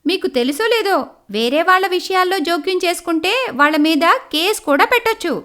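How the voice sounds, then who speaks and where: A woman voicing a sharp, argumentative young lawyer daughter-in-law in a cartoon moral story. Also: very high voice, lively intonation, brisk, pitched above the performer's own voice. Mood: confrontational. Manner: argumentative, warning, pointed.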